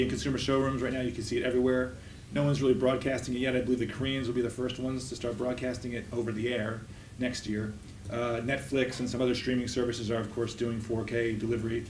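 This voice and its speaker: A man's voice: medium pitch, a little hiss or hum. A man moderating a conference panel, speaking casually with many fillers. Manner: relaxed, many fillers, thinks aloud. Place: conference room at a trade event. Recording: roomy echo, mic from a distance, faint ambience.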